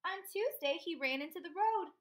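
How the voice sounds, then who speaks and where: A woman's voice: extremely high pitch, expressive, moderate pace. A young woman reading a picture book aloud expressively, stopping to put questions to her young listeners. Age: young adult. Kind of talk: expressive children's read-aloud. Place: small room indoors at home.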